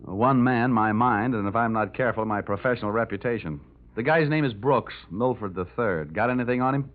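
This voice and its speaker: An actor playing a wry, hard-boiled insurance investigator with an American accent and a sardonic manner, in an old radio detective drama. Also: male voice, mid-range voice, fairly quick, lightly acted. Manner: wisecracking, sardonic. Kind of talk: 1940s-50s radio detective drama, narration and dialogue. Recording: dull vintage radio recording with music.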